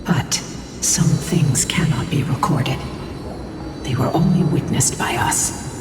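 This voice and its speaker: An actress playing a solemn, mournful ancient guardian spirit in a fantasy game, speaking gravely in a hushed voice. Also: female voice, extremely low pitch, animated, slow, full character voice. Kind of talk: dramatic fantasy game voice acting. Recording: echoing hall reverb, distant, loud music.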